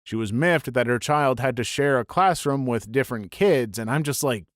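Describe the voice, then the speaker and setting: A man reading a string of online stories aloud as a scripted narration, brisk and even with dry asides. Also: medium pitch, no room sound.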